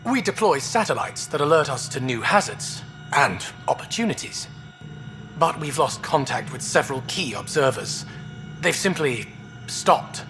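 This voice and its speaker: A man playing a courteous, earnest alien astronomer in a video game, speaking in formal, measured phrases. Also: on the high side, big pitch swings, music in the background. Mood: earnest, concerned. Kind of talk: video game dialogue acting.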